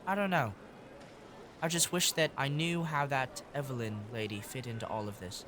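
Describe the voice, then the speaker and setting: A woman's voice: a bass voice, moderate pace. A woman playing a self-reliant, determined young girl detective in an audio drama, earnest and guarded. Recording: clearly noisy background ambience.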